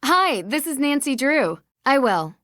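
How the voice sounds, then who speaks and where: A woman's voice: high voice, very animated, unhurried, a bit bright, no echo, clean studio sound, heavily acted. A woman voicing a young American private investigator in a video game, friendly, earnest and inquisitive. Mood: polite, inquisitive. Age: young adult. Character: polite, inquisitive young woman detective, eager and considerate. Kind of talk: scripted video game dialogue.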